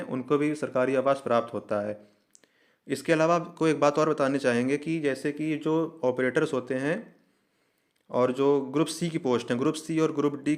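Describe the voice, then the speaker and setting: A man with a North Indian accent presenting an explanatory lesson, reading a document aloud and methodically repeating and glossing each term. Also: mid-range voice. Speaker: presenter of an exam information channel.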